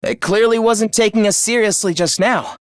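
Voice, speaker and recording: A man's voice: sits high, normal speed, clear, resonant tone, no room sound, studio quality, close to an everyday voice. A man playing a young student in a video game, earnest on the surface and a cold, misanthropic killer beneath, theatrical and articulate. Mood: earnest, concerned. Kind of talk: dramatic anime-style video game voice acting.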